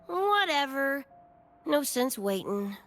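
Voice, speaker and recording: A woman's voice: high voice, very animated, takes it slowly, a bit bright, faint music behind, exaggerated voice. A woman playing a brash, scrappy young cyberpunk gang member in a game, with cocky, slangy talk and a wistful side. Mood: brash, casual. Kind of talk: animated game character dialogue.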